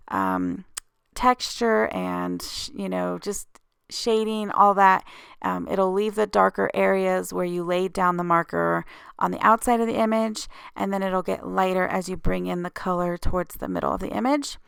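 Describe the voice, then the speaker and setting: Scratchy, creaky-voiced woman giving a craft tutorial. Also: mid-range voice, dry recording, studio quality. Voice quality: slightly scratchy. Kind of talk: casual tutorial voice-over.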